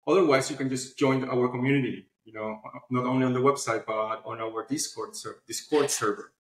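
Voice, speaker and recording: A man speaking as an answers interview questions in an informal, explanatory way. His voice sits at an average pitch. The sound is noticeably roomy and echoey. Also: steady tone, fairly quick. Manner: informal, explanatory, often adds "you know". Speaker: guest, publishing platform founder.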